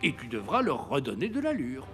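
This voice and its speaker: A man's voice: medium pitch, wildly swooping pitch, a little fast, soft background music. A man voicing a jolly, reassuring old Santa Claus in a children's cartoon, with a theatrical, avuncular manner. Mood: mentoring. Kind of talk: French children's cartoon dubbing.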